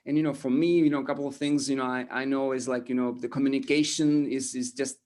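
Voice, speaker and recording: Man with an Estonian accent, halting. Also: medium pitch, fairly even intonation, a little mellow. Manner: halting, many restarts and fillers. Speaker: guest. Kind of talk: casual interview talk.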